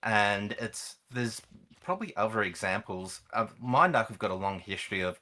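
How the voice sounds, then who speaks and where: A man's voice: fairly low pitch, animated, normal speed, pushed and bright. A man speaking as an experienced gamer and guest, explaining things in casual conversation.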